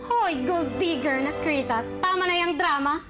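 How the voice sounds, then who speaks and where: A woman's voice: sits high, moderate pace, lightly acted. A woman with a Filipino accent playing a spoiled, spiteful rich older sister in an amateur radio drama. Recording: music playing behind the voice.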